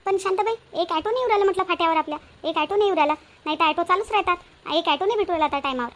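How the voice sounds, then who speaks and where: A woman's voice: very high voice, very fast, fairly bright tone. A woman voicing an eager, trusting village housewife in a Marathi cartoon, chattering colloquially with a rural Vidarbha accent. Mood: impatient. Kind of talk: Marathi cartoon moral-story dialogue.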